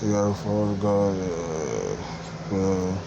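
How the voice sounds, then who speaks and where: A congested man with a stuffed-up nose, rambling in a halting rant full of fillers, restarts and swearing. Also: sits low, lively intonation, creaky, muffled recording, background noise. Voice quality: quiet, hard to hear. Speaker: vlogger.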